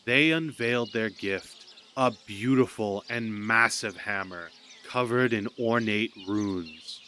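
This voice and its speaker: Low-voiced man narrating a myth. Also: takes it slowly, pushed and bright. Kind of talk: dramatic scripted storytelling. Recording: amateur recording with background noise.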